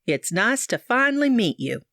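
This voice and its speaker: A woman voicing a gentle, trusting elderly aunt who speaks slowly and kindly. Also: on the high side, very animated, no room sound, clean studio sound, pitched above the performer's own voice. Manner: slow and kindly.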